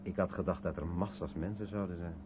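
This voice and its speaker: An actor playing a reflective, sceptical man with a deliberate, wry way of talking, in 1970s radio play style. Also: low voice, steady tone, narrow, tinny audio, close to an everyday voice. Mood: musing, uneasy. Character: reflective, sceptical South African man of colour, probing. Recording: thin, noisy old radio recording with hum.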